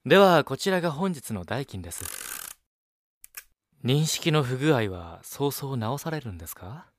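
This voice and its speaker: An attentive young waiter in a radio play. He speaks with polite deference and expressive intonation in a mid-range voice. The recording is very dry. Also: medium pitch, unhurried, no room sound. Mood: courteous. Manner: polite, deferential customer-service speech. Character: courteous, attentive young male café waiter. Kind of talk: Japanese audio drama acting.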